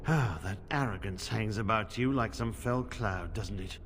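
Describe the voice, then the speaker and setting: An actor playing a stern, weary middle-aged knight commander in a fantasy game, speaking formally and gravely, in long reverb. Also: male voice, lowish voice, normal speed, fairly bright tone, faint music behind, natural voice. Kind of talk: fantasy game dialogue acting. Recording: long reverb of a stone hall.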